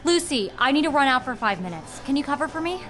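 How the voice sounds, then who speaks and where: An actress playing a caring, candid young girlfriend in naturalistic audio drama, with a gentle, direct manner. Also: high-pitched, very animated, fast. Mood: concerned, puzzled. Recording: music behind.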